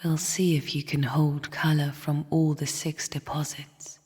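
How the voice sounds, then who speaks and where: A somber, bitter game spirit, speaks in a very breathy, hushed half-whisper. Her low voice moves slowly and cryptically, trailing into long cavernous reverb. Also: little pitch movement. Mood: cold, guiding. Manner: slow, solemn, cryptic. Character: somber, bitter sister spirit of the void, guarding her chambers. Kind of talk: video game character voice lines.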